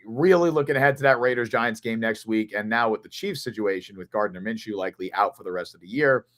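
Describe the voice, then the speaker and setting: A man with a hoarse, raspy voice from losing it, co-hosting a sports podcast and thinking aloud in long, earnest run-on stretches. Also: on the low side, quick pace, a bit bright. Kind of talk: casual sports analysis chat.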